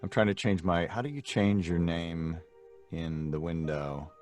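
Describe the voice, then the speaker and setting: Low, dryly playful man. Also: fairly even intonation, a little slow, faint music behind. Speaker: guest cast member. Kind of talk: casual chat.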